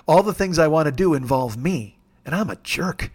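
A man in his forties hosting a podcast alone, talking in a rambling, jokey, self-deprecating way with a gravelly voice. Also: on the high side. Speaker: podcast host. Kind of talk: casual solo monologue.

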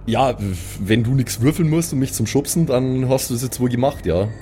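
A man playing in a tabletop role-playing podcast, casually and haltingly narrating his own moves. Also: medium pitch, warm tone. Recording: faint eerie music under the voice.

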